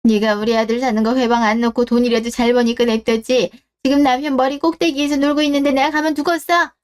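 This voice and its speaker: High-voiced, scolding woman as a domineering mother-in-law. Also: flat delivery, fast talker, clean tone, close to an everyday voice. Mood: bitter, scornful. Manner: scolding, accusatory. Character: domineering, scornful middle-aged mother-in-law, fiercely possessive of son. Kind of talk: scripted Korean online radio drama.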